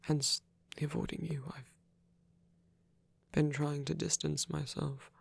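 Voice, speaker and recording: Guy doing a gentle lonely old vampire priest for asmr, super gravelly and breathy, halting, close dry sound. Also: a little high, little pitch movement, dry recording, exaggerated voice. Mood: wistful, tender. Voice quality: breathy, often near-whispered, gravelly. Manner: halting, tender, formal old-fashioned wording. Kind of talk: soft intimate ASMR roleplay.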